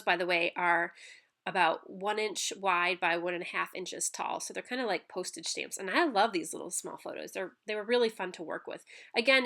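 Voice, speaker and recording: A woman's voice: on the low side, a bit bright. A woman with a stuffy nose from a cold, chatting casually through a crafting voice-over with lots of ums and restarts. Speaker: scrapbooking channel host. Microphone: home voice-over mic.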